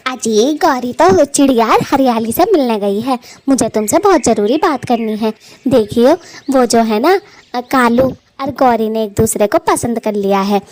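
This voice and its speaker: A woman plays a chatty young female bird in a family cartoon. Her voice sits fairly high, and she speaks in an affectionate, teasing, effusive way. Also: expressive, normal speed, a little mellow, a little hiss or hum, lightly acted. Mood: eager. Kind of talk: Hindi family cartoon story acting.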